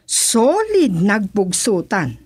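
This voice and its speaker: A woman's voice: mid-range voice, wildly swooping pitch, extremely slow, a little mellow, slightly rough, dry recording, clean studio sound, heavily acted. An actress playing a woman who sweet-talks a village chairman in a sing-song, coaxing way in a radio drama. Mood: flattering. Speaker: supporter flattering the chairman.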